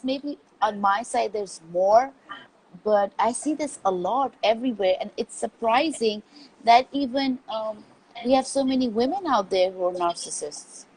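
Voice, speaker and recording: A woman host from Pakistan, asking questions thoughtfully and hesitantly, pausing to correct her slips. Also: on the high side, darkish tone, a little hiss or hum, rough recording. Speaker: podcast host. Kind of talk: interview conversation.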